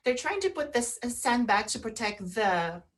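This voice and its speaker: Halting woman co-hosting a casual talk show. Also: fairly high pitch, very animated. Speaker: radio show co-host.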